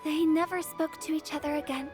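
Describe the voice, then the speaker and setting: A woman's voice: sits high, fairly even intonation, a little fast, natural voice. A woman voicing a playful, mischievous young funeral director in a video game, teasing and spinning old tales. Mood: storytelling. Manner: teasing, theatrical, then storytelling. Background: game music.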